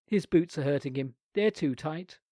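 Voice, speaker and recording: A man's voice: fairly high pitch, normal speed, dark tone, no echo, clean studio sound, close to an everyday voice. A man playing a stubborn, cheeky little boy. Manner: cheeky, matter-of-fact. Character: stubborn clever young boy, defiant.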